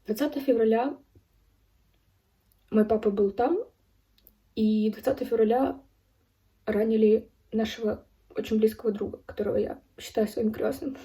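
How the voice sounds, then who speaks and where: A woman in her early twenties from Ukraine giving a serious personal account as a video blogger, speaking in halting, deliberate phrases with pauses. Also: fairly high pitch, mellow, recorded from a distance. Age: early 20s. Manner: serious, solemn. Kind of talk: personal account spoken to camera.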